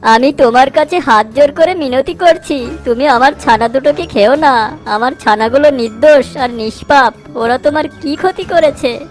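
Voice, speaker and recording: A woman voicing a small, wronged mother bird in an animated moral story, earnest and emotional. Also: sits high, moderate pace, soft background music, natural voice. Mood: pleading.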